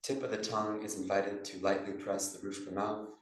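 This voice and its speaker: Low, even-voiced man in a very echoey room. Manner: measured phrasing with pauses, instructing breath and posture. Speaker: yoga and meditation teacher. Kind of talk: guided meditation in a live class. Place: yoga studio class. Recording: echoey room, distant microphone.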